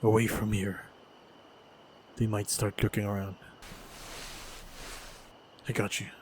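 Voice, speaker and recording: A man with a fairly low voice plays a calm, protective hunter in an audio roleplay. His delivery is slow and composed, with little melodic movement. Faint ambience runs behind him. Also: noisy background. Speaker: voice actor playing a monster hunter. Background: faint sound-effect ambience.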